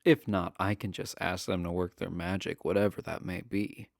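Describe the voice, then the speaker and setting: A man's voice: low voice, flat delivery, normal speed, vocal fry, dry recording, clean studio sound. A man playing a charming, sly villain prisoner in an intimate roleplay audio, talking in a coy, flirtatious, casual way. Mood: sly, playful.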